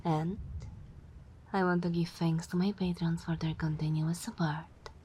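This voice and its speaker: A woman hosting an ASMR channel, speaking softly and gently in a breathy half-whisper. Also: fairly low pitch, little pitch movement, unhurried, slight background noise. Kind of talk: soft-spoken ASMR outro.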